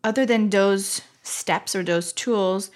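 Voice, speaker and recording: A woman hosting a casual, reflective interview, speaking in a measured, step-by-step way. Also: medium pitch. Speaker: interviewer, host.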